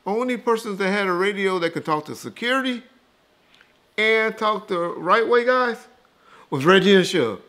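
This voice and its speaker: A man's voice: sits high, expressive, moderate pace, bright, forceful tone. Emphatic man, casual and blunt. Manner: emphatic, dismissive, repeats himself for emphasis. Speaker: interview guest rebutting rumors. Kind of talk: casual, profane opinionated talk.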